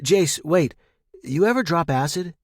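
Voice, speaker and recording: A man's voice: fairly high pitch, animated, unhurried, a little mellow, dry recording, studio quality, voice pushed up. A man voicing a hungover, worried young man in audio fiction, slangy, hesitant and reluctant. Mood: uneasy. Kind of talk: audio fiction character acting.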